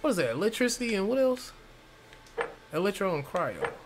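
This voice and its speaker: A man's voice: high voice, very animated, fairly quick. A man doing casual live gameplay commentary, informal and sweary, reacting as he plays. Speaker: game streamer. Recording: game music behind the voice.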